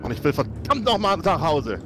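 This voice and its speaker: Higher-pitched man in casual role-play. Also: sing-song, moderate pace. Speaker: tabletop role-play player. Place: home setup on a group video call. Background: music.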